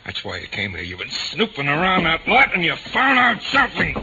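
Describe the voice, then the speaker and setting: An actor playing a nervous, menacing neighbor with something to hide, stammering and pressing in 1940s radio drama style. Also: male voice, a little high, huge pitch swings, fairly quick, gravelly, exaggerated voice. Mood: menacing, suspicious. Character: nervous, menacing neighbor man hiding a murder. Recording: dull old radio recording, faint noise.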